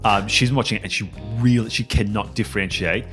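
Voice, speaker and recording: An Australian man chatting casually among friends on a podcast, joking and teasing in an animated way. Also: lowish voice, quick pace, soft background music. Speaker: tabletop podcast regular. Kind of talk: casual group banter.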